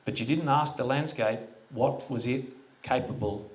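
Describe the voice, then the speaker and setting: A man speaking to a live audience at a forum in a relaxed, plain-spoken way, often putting questions to the room. His voice sits at a middle pitch for a man, and he talks into a handheld microphone. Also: normal speed. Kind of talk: casual public talk to an audience. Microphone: handheld microphone that cut out.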